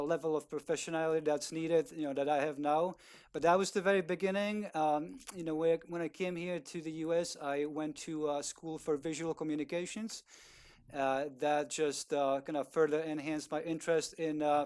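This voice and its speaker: A man with a Czech accent talks over a video call in a smooth, clear voice that sits a little high for a man. He is polite and halting, filling gaps with "uh" and restarting his sentences. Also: a little mellow. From Czech Republic. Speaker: guest speaker, video producer. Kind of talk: casual talk on a video call.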